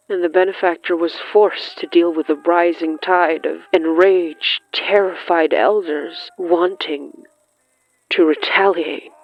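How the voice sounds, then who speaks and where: A narrator reading scripted horror fiction in a measured, deliberate way, breaking sentences into short, suspenseful phrases. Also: mid-range voice, lo-fi sound.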